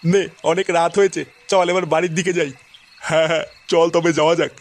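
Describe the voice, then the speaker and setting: Male actor as older village man, high voice, crude, bossy, emphatic, comedy cartoon. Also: level tone, quick pace, natural voice. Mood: chatty, grumbling. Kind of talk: foul-mouthed comedy cartoon dialogue.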